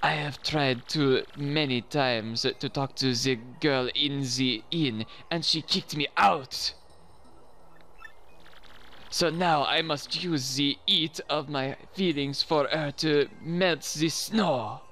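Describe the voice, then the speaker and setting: A man's voice: fairly high pitch, slow pace, a bit bright, a little hiss or hum. A man doing a lovesick French bomb creature.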